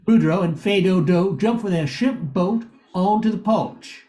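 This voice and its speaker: A man's voice: sits high, measured pace, warm tone. A man giving scripted narration as the narrator of a radio play. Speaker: professor and narrator.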